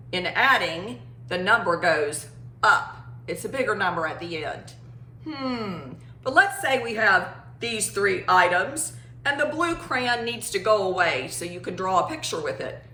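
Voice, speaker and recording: A woman leads a children's lesson and storytime in an echoey room. Her delivery is slow and animated, with plenty of pitch movement. She keeps her phrasing simple and encouraging for young listeners. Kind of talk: children's math lesson and read-aloud storytime.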